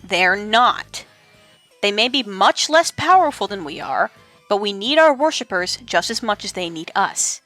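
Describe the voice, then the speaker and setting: Woman as proud goddess queen, indignant, sharp, sweeping pitch, tense bright tone, audio drama. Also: very animated, measured pace, soft background music. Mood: impassioned, pleading. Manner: sharp, cold, forceful.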